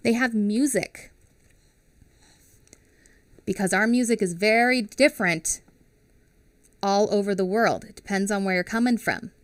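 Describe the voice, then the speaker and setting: A woman's voice: mid-range voice, no echo, studio quality. A woman in her thirties, a teacher reading a picture book aloud to young children on a headset mic and stopping to explain things in simple words. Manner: animated and expressive, explaining slowly to young kids. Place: small home studio with a classroom wall behind her.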